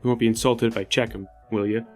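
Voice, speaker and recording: A man's voice: fairly low pitch, level tone, quick pace. A man playing a tabletop game, talking through his character's moves in a casual, conversational way. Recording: music behind. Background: background music.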